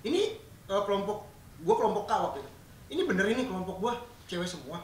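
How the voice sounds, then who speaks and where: A man in his late twenties with a high voice, co-hosting a podcast in a casual, joking way. He tells stories quickly and swears freely. The sound is roomy, far from the mic, with a faint background noise. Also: expressive. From Sunda, Indonesia. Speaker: podcast co-host. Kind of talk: casual chat, storytelling.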